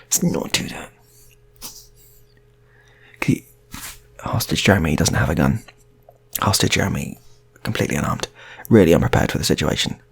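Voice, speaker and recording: A man's voice: very deep voice, a little fast, gravelly, breathy edge. A man telling an improvised story in a casual, rambling way, often repeating his phrases.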